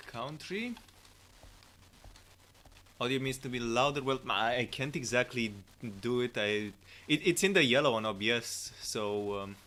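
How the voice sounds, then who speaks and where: A man doing casual live-stream commentary, hesitant, with many fillers and restarts, on a cheap low-volume mic. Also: pushed and bright. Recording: faint static hiss.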